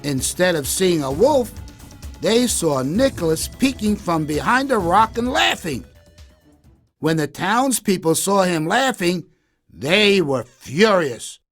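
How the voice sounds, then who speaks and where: A man with a high-pitched voice narrates a children's story. His delivery is expressive and unhurried, with faint music underneath. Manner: deliberate, expressive. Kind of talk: scripted children's story narration for English learners.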